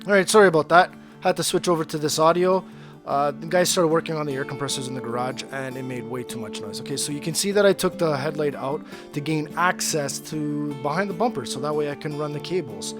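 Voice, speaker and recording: A man giving a casual how-to narration on installing a radio in his truck, talking in a relaxed, rambling way with lots of fillers. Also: a little high, a little fast, music in the background. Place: parking garage beside his truck.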